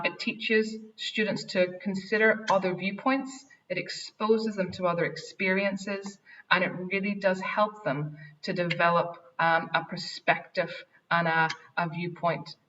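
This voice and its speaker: A woman's voice: medium pitch, fairly bright tone. A woman with an Irish accent, head of an English faculty, giving an earnest school webinar talk with frequent ums and restarts. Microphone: video call. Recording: distant, dull online call audio.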